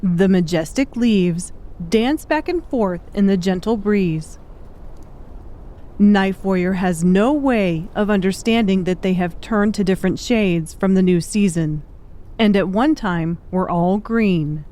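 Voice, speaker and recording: A woman's voice: mid-range voice, takes it slowly, warm tone. A woman reading scripted, dramatic audiobook narration.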